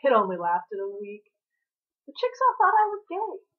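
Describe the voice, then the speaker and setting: A woman reading the part of a playful, pushy man who teases and persists in an animated, insistent way. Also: on the high side, moderate pace, narrow, tinny audio. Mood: teasing. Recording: thin, band-limited home recording.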